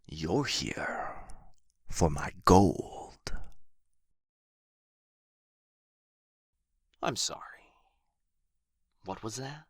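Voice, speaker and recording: A man acting a proud, wounded, menacing dragon in an ASMR roleplay, taunting theatrically with drawn-out words and whispers. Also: sits low, very animated, husky, dry recording, studio quality, full character voice. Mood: suspicious, threatening. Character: proud, menacing, wounded male dragon guarding his gold.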